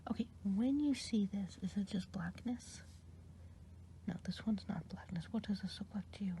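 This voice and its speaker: A woman performs a kindly good witch in a whispered ASMR roleplay. The voice stays soft and mellow, close and unhurried, with patient questions to the listener. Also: medium pitch, a little hiss or hum, natural voice. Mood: soft whispering.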